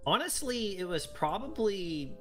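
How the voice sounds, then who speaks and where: A man's voice: high voice, very animated, a little slow, fairly bright tone, soft background music. A man chatting casually and enthusiastically as a livestream co-host, rambling along in a loose, easygoing flow. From Canada. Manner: enthusiastic, rambling, chuckles often. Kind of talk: casual chat.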